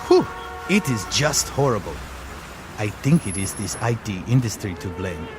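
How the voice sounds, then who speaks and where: A man's voice: medium pitch, natural voice. A man playing a friendly, talkative tuk-tuk driver in a scripted role-play interview, exclaiming about the traffic.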